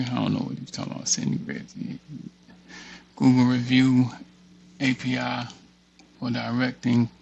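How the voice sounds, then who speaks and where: A man's voice: medium pitch, creaky, a little mellow, lo-fi sound, slight background noise. A man hosting a casual tutorial, reading a document aloud and muttering offhand asides to himself as he works. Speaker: tutorial host. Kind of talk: casual screen-share walkthrough, reading a document aloud with off-the-cuff remarks.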